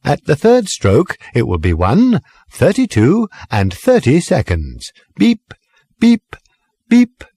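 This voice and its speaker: A man voicing a speaking-clock announcer in an audiobook, with a stilted, measured, sing-song delivery. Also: fairly high pitch, huge pitch swings, dark tone, slightly rough, no room sound, clean studio sound, voice pushed up, heavily acted. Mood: mechanical, chirpy.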